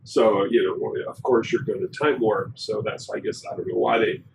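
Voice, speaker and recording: A man hosting a casual gameplay commentary, hoarse and raspy from a cold, explaining things in a rambling way with many fillers. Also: on the low side, steady tone, moderate pace, noisy background. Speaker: gaming channel host.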